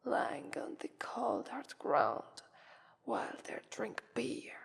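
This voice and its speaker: A woman acting a slow, breathy, haughty Italian-accented vampire mistress. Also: a little high, wildly swooping pitch, heavily acted. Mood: scornful, knowing. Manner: slow, breathy, often whispered, condescending. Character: haughty female vampire mistress, predatory, taunting, intrigued. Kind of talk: ASMR roleplay voice acting. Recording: long reverberant echo.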